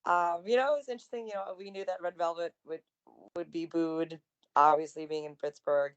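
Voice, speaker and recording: Woman co-host, kinda raspy, low voice, gushing about wrestling matches. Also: fairly even intonation, moderate pace. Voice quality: slightly raspy. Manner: enthusiastic, chatty. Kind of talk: casual wrestling recap chat.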